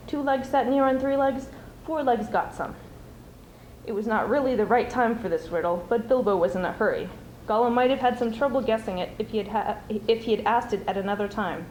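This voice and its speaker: A woman's voice: fairly high pitch, a little mellow. A young woman, a student performer, giving a dramatic reading of a storybook. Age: teens or young adult. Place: school speech showcase before an audience. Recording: roomy, noisy amateur stage recording.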